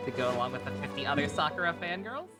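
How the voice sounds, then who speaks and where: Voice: mid-range voice, lively intonation, rapid-fire. A game streamer chatting casually over gameplay in a sardonic way. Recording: game music clearly behind voice.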